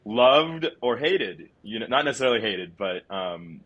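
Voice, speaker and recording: A man hosting a podcast, chatting casually with lots of fillers, describing himself as loud. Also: on the low side, very animated, normal speed, dull audio. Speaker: podcast host. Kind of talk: casual conversational interview.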